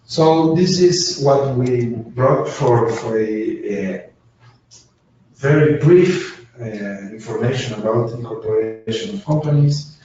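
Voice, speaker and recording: A man, a lawyer giving a measured business presentation in a webinar. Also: mid-range voice, dark tone, a little hiss or hum. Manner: measured, deliberate delivery. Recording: echoey, distant, dull webinar audio.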